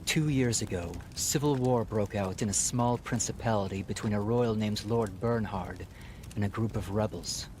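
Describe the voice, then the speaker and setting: An actor playing a solemn, measured man who recounts a war formally in a fantasy game. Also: on the low side, darkish tone, a little hiss or hum, natural voice. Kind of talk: dramatic storytelling in a fantasy game cutscene.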